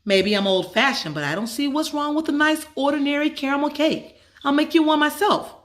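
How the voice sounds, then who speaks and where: A caring storybook mother, acted by a woman reading aloud. She is gently old-fashioned and coaxing, with a homely way of talking and a raised, lilting pitch. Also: fairly quick, close to an everyday voice.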